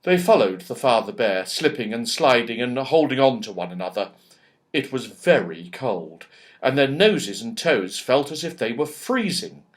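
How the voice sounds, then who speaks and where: A man's voice: medium pitch, sing-song, moderate pace. A man narrating a children's story as an audiobook, expressively, with dramatic emphasis and storyteller's phrasing.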